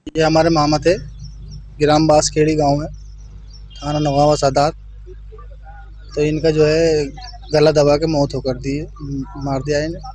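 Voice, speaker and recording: A man speaks in a slightly high voice. He gives a plain, unscripted account as a local interviewee in a news report. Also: little pitch movement, measured pace, pauses often, darkish tone, slight background noise, rough recording. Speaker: bereaved relative interviewed for news.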